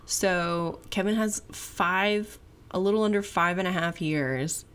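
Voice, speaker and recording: A woman in her thirties co-hosting a podcast, chatting and teasing in a relaxed way with lots of "like". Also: fairly low pitch, slow pace, smooth. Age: 30s. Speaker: podcast co-host. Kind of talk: casual couple chat.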